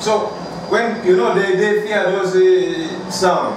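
A young man from northern Uganda with a northern Ugandan accent, recalling his life in interview answers, haltingly and often repeating phrases. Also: high-pitched, fairly even intonation. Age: young adult. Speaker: interviewee, athlete. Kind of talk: interview answers, personal recollection. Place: small bare room indoors. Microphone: camera mic a few feet away. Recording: very echoey room, distant mic, clear background noise.

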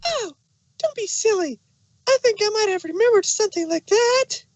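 A woman's voice: extremely high pitch, expressive, moderate pace, a little mellow. A woman voicing a hyper, chirpy, relentlessly cheerful young female pony in a fan audio drama, bubbly and pushy.

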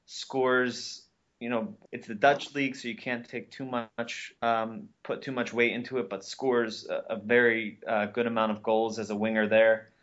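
A man's voice: medium pitch, level tone, moderate pace, fairly bright tone, dull audio. A man giving casual sports analysis as a podcast panellist.

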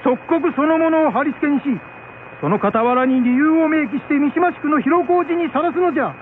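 A man's voice: very high-pitched, normal speed. A man playing a stern, imperious shogun in a radio drama, speaking in archaic lordly Japanese. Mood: stern, commanding. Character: stern shogun handing down a harsh judgment. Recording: muffled narrowband radio with background noise.

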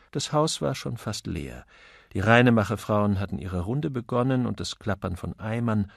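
A man narrating an audiobook as expressive, scripted literary storytelling. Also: lowish voice, vocal fry, breathy edge, no room sound, studio quality.

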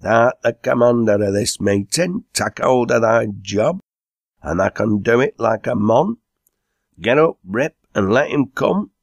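A man voicing a boisterous, hearty working man with a Lancashire accent, loud and exclamatory, in a storybook reading. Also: mid-range voice, lively intonation, a little slow, creaky, no echo, clean studio sound, pitched above the performer's own voice. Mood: bossy, jovial. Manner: loud, exclamatory cheering and urging.

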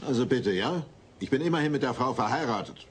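An actor playing an exasperated, grumbling married neighbour with a gravelly voice in a German sitcom audio drama. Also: male voice, mid-range voice, expressive, fast, close to an everyday voice. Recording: faint noise, old cassette audio drama.

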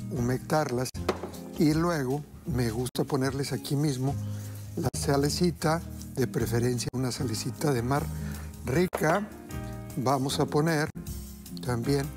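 A middle-aged man hosting a TV cooking show, chatty and folksy, talking to viewers while he cooks. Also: medium pitch. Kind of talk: cooking demonstration. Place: TV studio kitchen set.

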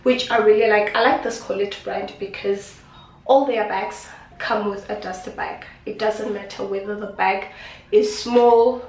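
A woman's voice: medium pitch, far from the mic. A young woman from South Africa, sounding congested, chatting casually to camera as she unboxes a new handbag. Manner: chatty, affectionate, repeats herself. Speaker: beauty vlogger. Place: small indoor kitchen. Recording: echoey room, voice off-mic.

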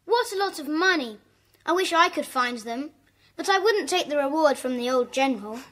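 An earnest English schoolboy, speaks politely in a high voice. He sounds kind and sincere, as in a children's audio play. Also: expressive, normal speed, fairly bright tone. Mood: curious, polite.

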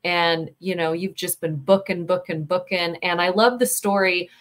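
A woman's voice: fairly low pitch, steady tone, normal speed. Upbeat woman hosting on a headset. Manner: upbeat, chatty. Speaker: host. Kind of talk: casual online interview. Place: home room with posters. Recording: video call.

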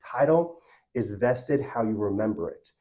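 A man's voice: lowish voice, animated, a little slow, mellow. A man in his thirties giving an informal, step-by-step explanation as a professional in a small meeting room. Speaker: title company representative explaining a document. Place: small glass-walled office meeting room.

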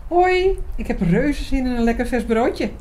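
An actress playing a frank, expressive young woman in an audio drama. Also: high voice, unhurried. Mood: lively, teasing. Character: lively, frank young woman in love with priest friend. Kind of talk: Dutch audio drama dialogue.

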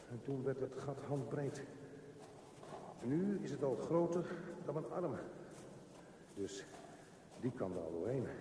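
An actor playing a desperate man struggling through a dark tunnel in a halting, intense radio play monologue. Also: medium pitch. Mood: tense, halting. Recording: noisy, echoey, distant radio play recording.

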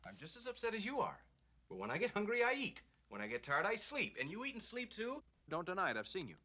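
An actor playing a practical young lawyer husband in a romantic comedy, dry, sarcastic and easily exasperated. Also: male voice, high voice, huge pitch swings, fast, bright, forceful tone, exaggerated voice. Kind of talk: theatrical romantic comedy acting.

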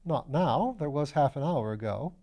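A man's voice: fairly high pitch, expressive, moderate pace, warm tone, voice pushed up. A man voicing a flippant, shrewd aristocratic detective, breezy and assured, in a storybook reading. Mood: urgent, assured. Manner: breezy, self-deprecating, assured.

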